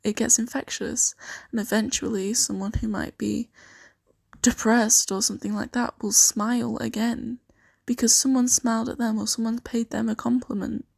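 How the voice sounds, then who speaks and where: A woman improvising an ASMR roleplay as a kindly, earnest host of a fantasy sanctuary, speaking softly and reassuringly with some hesitation. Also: a little high, vocal fry, no room sound. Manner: soft-spoken, gently hesitant, reassuring.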